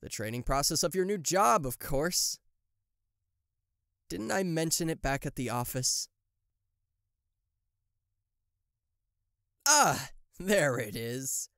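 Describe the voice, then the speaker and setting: Man acting a slow-talking possessive demon CEO. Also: fairly high pitch, lively intonation, gravelly, a bit bright, no echo, studio quality, full character voice. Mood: smug, menacing. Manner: theatrical, condescending, deliberate. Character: possessive, smug male TV-headed demon tech CEO. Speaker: voice actor. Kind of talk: yandere ASMR roleplay addressed to the listener.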